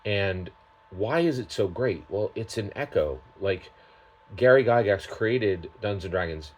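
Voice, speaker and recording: Male gaming vlogger, low-pitched and rough-edged. Off-the-cuff opinions, halting but emphatic, frequent check-in tags. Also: expressive. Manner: emphatic, halting delivery with repeated tag questions. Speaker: tabletop gaming vlogger giving his opinions. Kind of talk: unscripted, opinionated product commentary.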